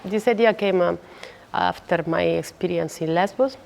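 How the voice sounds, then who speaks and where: A woman's voice: on the low side, normal speed, vocal fry, very noisy. A woman human rights activist speaking hesitantly in a documentary interview, with many fillers.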